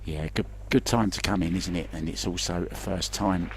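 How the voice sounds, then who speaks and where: A man's voice: low voice, steady tone, moderate pace, noisy background. A man doing chatty, self-deprecating live pool commentary in a conversational way. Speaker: sports commentator. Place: remote commentary from his own location.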